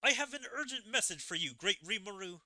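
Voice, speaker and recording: Urgent herald played by a male gamer, formal and dutiful, raised sharp voice. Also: high voice, lively intonation, brisk, dry recording, voice pushed up, full character voice. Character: urgent, dutiful messenger reporting to rulers.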